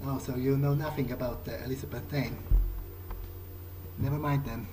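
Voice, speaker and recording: A man's voice: mid-range voice, fairly even intonation, normal speed, background noise. A man playing a game in character, thinking aloud in a hesitant, musing way. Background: loud music.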